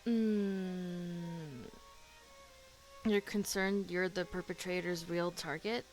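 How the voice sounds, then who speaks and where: A woman's voice: on the low side, fairly even intonation, takes it slowly, rough recording. A woman streamer giving casual reaction commentary while playing a story game. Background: soft game music.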